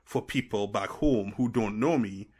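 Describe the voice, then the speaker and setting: A man with a Trinidadian accent tinged with American, telling stories as a podcast guest in an animated, rambling way. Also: on the low side, measured pace, breathy edge. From Trinidad and Tobago. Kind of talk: casual chat.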